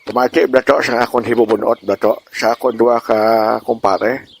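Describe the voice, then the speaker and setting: A man's voice: medium pitch, steady tone, normal speed, lightly acted. A man acting a grumbling, indignant old village grandfather in a comedic radio drama, sighing and repeating himself. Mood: aggrieved.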